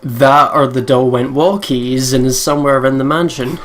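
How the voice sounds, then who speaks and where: Young man, medium pitch, casual joking game co-host, faint background noise. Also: fairly even intonation, normal speed, fairly bright tone. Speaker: let's play co-host. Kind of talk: casual chat, joking reactions.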